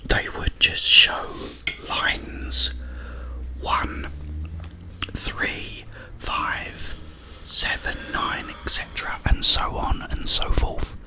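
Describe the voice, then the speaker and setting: A man rambling in a breathy ASMR whisper, speaking in short, halting phrases with pauses. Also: pushed and bright. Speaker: ASMR creator and host. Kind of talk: whispered ASMR ramble about a video game.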